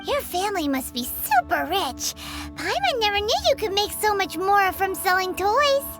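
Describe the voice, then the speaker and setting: A woman voicing a tiny, bubbly, sassy flying fairy companion in a video game, squeaky and exclamatory. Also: big pitch swings, normal speed. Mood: surprised. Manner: squeaky, exclamatory, refers to self in third person. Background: prominent music.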